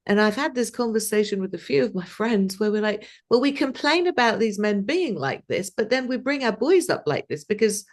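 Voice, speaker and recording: A woman's voice: medium pitch, big pitch swings, moderate pace, few pauses. A woman from Iran who hosts a casual discussion, speaking reflectively with many fillers.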